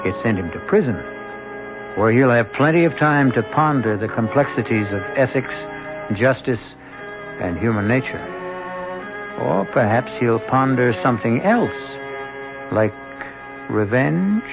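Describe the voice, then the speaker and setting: A man hosting and narrating a radio drama in a theatrical, wry style with deliberate phrasing. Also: medium pitch, lively intonation, creaky. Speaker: host and narrator. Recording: muffled old radio broadcast. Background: prominent music.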